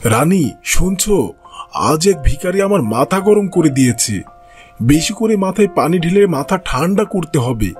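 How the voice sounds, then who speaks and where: A man's voice: on the high side, sing-song, a little slow, faint music behind. A man voicing an arrogant, stingy and irritable king in a fairy-tale cartoon, haughty and commanding. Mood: complaining, commanding.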